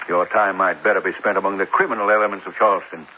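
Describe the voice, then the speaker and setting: An actor playing a stuffy, haughty businessman in a 1950s radio drama, pompous, clipped and quick to take offense. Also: sits low, fairly even intonation, lightly acted. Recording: dull old radio recording with faint hiss.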